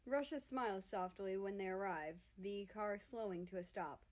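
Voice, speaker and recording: A woman's voice: medium pitch, normal speed, darkish tone. A woman reading fiction aloud as a scripted narration. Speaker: fan fiction reader.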